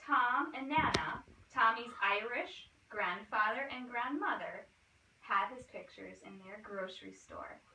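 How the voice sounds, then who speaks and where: High-voiced woman reading aloud, distant roomy recording. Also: measured pace, bright, forceful tone. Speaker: teacher reading to children. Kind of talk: picture-book read-aloud with asides to children. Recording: roomy, distant mic, clear noise and clicks.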